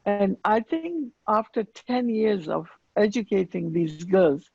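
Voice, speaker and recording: An earnest woman speaks slowly, with a gravelly, fry-tinged voice. Her answers come with frequent pauses and fillers. The sound is dry and muffled, like lo-fi video call audio. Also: mid-range voice, mellow, no room sound. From Afghanistan. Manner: earnest, unhurried, many fillers. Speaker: panelist running girls' schools. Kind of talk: panel discussion answer.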